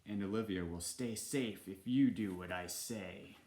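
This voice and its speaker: Man voicing a slow, scheming villain, echoey room. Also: on the low side, a little mellow, a little hiss or hum, natural voice. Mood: gloating. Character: scheming, pompous male villain plotting to be king.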